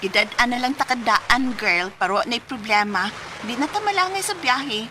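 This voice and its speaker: Brisk bossy young Cebuano Filipino girlfriend type, high, swooping voice, radio drama. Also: expressive, pushed and bright, slight background noise. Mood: urging. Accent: Filipino, Cebuano-speaking. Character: bossy, brisk young female friend on an outing.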